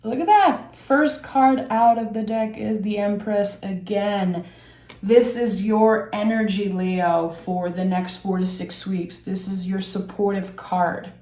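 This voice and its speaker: A young woman with a raspy voice from being sick, giving a gentle, reflective tarot reading and thinking aloud as she draws cards. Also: medium pitch, fairly even intonation, slow, reverberant. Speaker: tarot reader. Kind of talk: soft, slow tarot reading.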